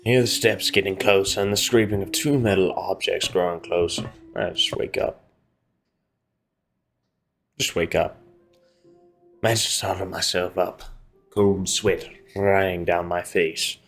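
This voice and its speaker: Low-voiced man reading aloud, music behind. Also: fairly even intonation, unhurried, long pauses, fairly bright tone. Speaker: gaming video host. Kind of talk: reading visual novel narration aloud with casual commentary.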